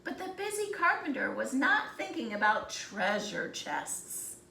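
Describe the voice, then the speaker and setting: A woman narrating a children's storybook aloud with deliberate, dramatic phrasing. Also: on the high side, big pitch swings, gravelly, sharp tone. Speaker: storybook reader. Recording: roomy echo, faint background noise.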